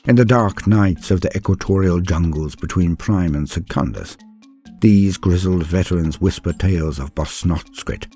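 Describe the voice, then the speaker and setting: A man's voice: deep voice, moderate pace, dark tone, soft background music. A man giving a theatrical, scripted lore narration in a grandiose storyteller's manner, as the host of the series.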